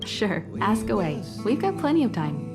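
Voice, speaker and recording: Game role: kindly, poised woman mentor to space travellers, reassuring, measured delivery over clear background music. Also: medium pitch, big pitch swings. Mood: calm, explaining. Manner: poised, reassuring, unhurried explaining. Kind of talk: video game voice acting, measured dialogue. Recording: background music under studio voice.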